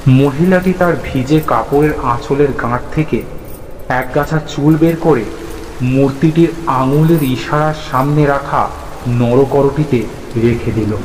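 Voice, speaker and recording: A man narrating a scripted horror story in a dramatic, deliberate way. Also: medium pitch, noisy background. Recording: echoey, reverberant, music behind.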